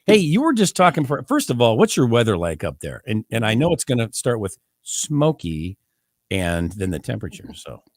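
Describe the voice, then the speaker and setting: A man's voice: mid-range voice, huge pitch swings, moderate pace. A man from the United States hosting a casual podcast, joking and laughing often. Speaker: podcast host.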